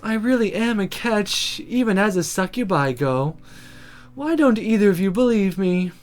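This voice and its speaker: A woman's voice: mid-range voice, lively intonation, moderate pace, lightly acted. Woman playing a drawling, flirty succubus. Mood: smug, complaining. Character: Ari, flirty smug female succubus demon.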